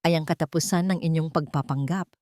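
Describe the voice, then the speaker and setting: A woman's voice: sits low, fairly quick, darkish tone, no room sound, studio quality, lightly acted. Woman acting polite, formal loyal lawyer. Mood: stern.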